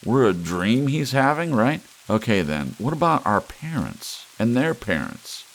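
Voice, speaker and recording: A man's voice: medium pitch, very animated, a little slow, pitched above the performer's own voice. A man reading as a brusque skeptical prosecutor. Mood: scoffing. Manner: brusque, dismissive.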